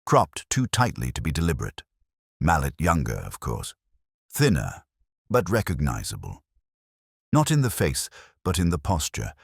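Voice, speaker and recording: A man playing a brooding, erudite English detective who narrates a mystery in measured, introspective, literary phrases with a husky voice. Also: a bass voice, huge pitch swings, no echo, clean studio sound, heavily acted. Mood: wistful, suspicious. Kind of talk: scripted first-person mystery narration.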